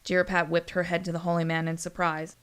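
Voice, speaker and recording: A woman's voice: fairly low pitch, completely flat delivery, fast talker, a bit bright, smooth. A woman narrating a scripted story in a wry, dramatic way.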